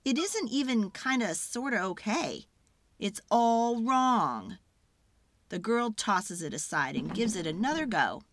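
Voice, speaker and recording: Animated woman reading a storybook aloud. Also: fairly high pitch, a little hiss or hum. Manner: expressive, deliberate, acts out sounds and feelings. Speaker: children's book read-aloud narrator. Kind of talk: dramatic storybook narration.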